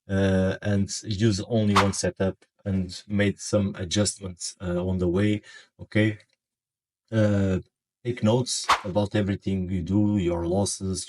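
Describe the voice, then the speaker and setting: A man with a Portuguese accent talks straight to his viewers, stopping often to search for words. His voice sits very low and stays flat and even in pitch throughout. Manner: halting, many fillers and restarts. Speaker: forex trading educator. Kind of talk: casual advice monologue.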